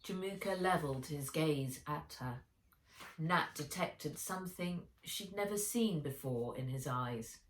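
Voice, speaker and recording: A woman reading a children's adventure story aloud with dramatic, deliberate delivery. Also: low voice, lively intonation, slight background noise. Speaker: author reading her own book aloud. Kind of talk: expressive children's audiobook narration. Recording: roomy echo, distant from mic.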